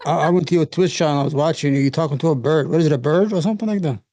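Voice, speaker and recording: A man's voice: a little high, very fast, mellow, no room sound. A man in a heated online debate over a voice call, blunt and provocative, with broken phrasing. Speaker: debate guest.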